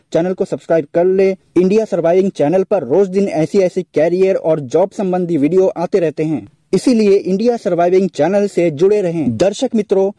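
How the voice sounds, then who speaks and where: A man's voice: high voice, mellow, no echo. A man hosting a job information channel, speaking voice-over straight to his viewers and addressing them again and again. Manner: repeatedly addresses viewers directly. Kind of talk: voice-over explainer.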